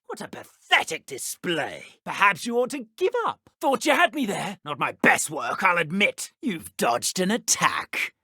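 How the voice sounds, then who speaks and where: A cruel British dark witch from a fantasy video game, with very lively, swooping pitch. She is smug and theatrical, mocking whoever faces her. The recording is close and dry. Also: breathy edge, no room sound, heavily acted. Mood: scornful. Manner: taunting, theatrical, condescending. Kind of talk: villain combat and idle barks for a fantasy game.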